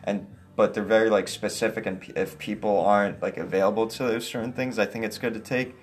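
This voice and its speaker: A young man as a guest in a casual chat, soft-voiced, rambling with many "like"s and restarts. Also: on the low side, steady tone, fast. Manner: rambling, heavy use of "like", restarts.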